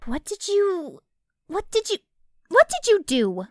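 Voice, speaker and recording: A woman's voice: extremely high pitch, wildly swooping pitch, a little slow, no echo, full character voice. A woman playing a stern but loving cartoon pony mother, with a dry, incredulous, scolding manner. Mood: exasperated. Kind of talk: animated fan audio drama acting.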